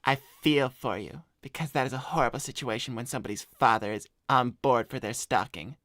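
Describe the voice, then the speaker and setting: A man's voice: medium pitch, fairly quick, no echo, clean studio sound, close to an everyday voice. A man voicing a cocky, laid-back cartoon hedgehog who chats in slang on an improvised livestream. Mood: casual, sympathetic. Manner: slangy, swearing, deadpan bragging. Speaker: cartoon character co-hosting an advice livestream. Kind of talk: improvised in-character chat, reading donor messages.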